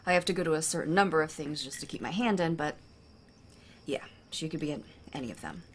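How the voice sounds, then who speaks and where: A woman's voice: sits low, expressive, quick pace, a little hiss or hum. An actress playing a lovelorn, sardonic woman diplomat, conversational and hesitant, in an audio drama. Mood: hurt, subdued. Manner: hesitant, conversational, self-correcting.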